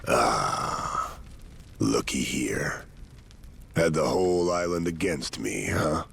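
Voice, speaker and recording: A man playing a blunt, hot-tempered veteran Yakuza in a video game, gravelly and confrontational. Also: very deep voice, expressive, slow pace, a bit bright, heavily acted. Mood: defiant. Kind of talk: video game cutscene acting.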